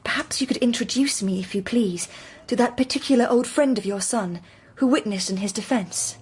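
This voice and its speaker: An actress playing a determined, devoted young wife in a period radio drama, speaking formally and earnestly with a British accent. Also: female voice, a little high, moderate pace, darkish tone, breathy edge. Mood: resolute. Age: young adult.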